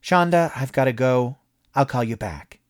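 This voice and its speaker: A man voicing an excitable, jovial businessman who gushes in a high voice. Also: normal speed, lightly acted. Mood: excited. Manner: high, gushing and breathless.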